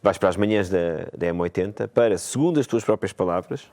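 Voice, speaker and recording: A man's voice: on the low side, expressive, fast, darkish tone. A man with a European Portuguese accent co-hosting a podcast in a chatty style with playful asides. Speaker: podcast co-host. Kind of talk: casual conversation.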